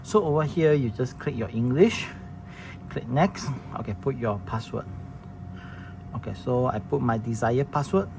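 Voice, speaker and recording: Man giving a halting product demo. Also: medium pitch, gravelly. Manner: halting, plain wording with many restarts and fillers. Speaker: product demonstrator. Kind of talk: casual product demo walkthrough. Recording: noisy room recording.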